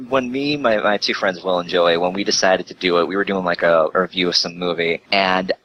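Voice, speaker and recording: A man's voice: low voice, brisk, a bit bright, highs cut off. A man chatting casually on a voice call, telling stories with plenty of swearing and exasperated asides. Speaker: guest, online video creator. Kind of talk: casual profane storytelling chat.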